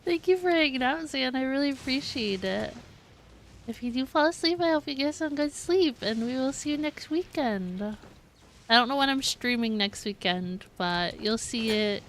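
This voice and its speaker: Expressive young woman streamer, high-pitched voice. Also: normal speed, slight background noise. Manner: giggly, expressive, chatty. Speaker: game streamer. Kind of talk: casual live gameplay commentary.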